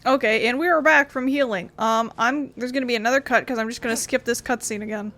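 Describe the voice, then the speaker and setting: A woman's voice: on the high side, steady tone, quick pace, pushed and bright. A woman giving casual let's-play commentary, thinking aloud in an informal way as she plays. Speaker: gaming video creator.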